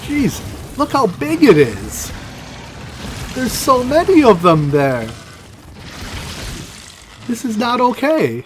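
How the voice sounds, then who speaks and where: Guy streaming a game, first language chinese, mutters to himself then gets loud and excited, voice jumps up and down a lot, faint hum behind. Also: very high voice, takes it slowly, pauses often. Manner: mumbling asides, excited outbursts. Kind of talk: casual gameplay commentary.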